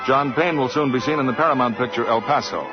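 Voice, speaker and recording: A man's voice: medium pitch, fast. A man announcing in a polished, scripted 1940s radio commercial style. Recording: dull 1940s radio recording with music.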